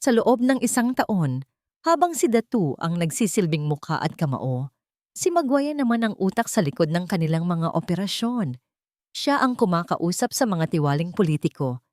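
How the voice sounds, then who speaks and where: Low-pitched woman narrating a dramatic story. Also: very animated, normal speed, a little mellow, dry recording, clean studio sound.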